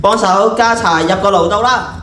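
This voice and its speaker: A man's voice: high-pitched, normal speed, noisy background, natural voice. A teenage boy with a Hong Kong Cantonese accent playing a commanding, greedy bandit chief in a theatrical school radio drama. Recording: echoey hall from far off, music behind.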